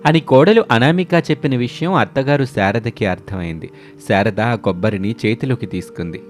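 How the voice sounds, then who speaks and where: A man narrating a scripted animated story as its storyteller, with music under his voice. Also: mid-range voice, normal speed.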